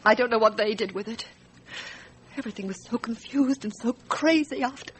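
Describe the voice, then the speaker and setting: A woman playing a frightened, distressed wife in a radio drama, recounting her story in a halting, hesitant way. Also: fairly high pitch, slight background noise, natural voice, rough recording. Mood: haunted, fearful. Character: frightened, distressed wife testifying about a night shooting.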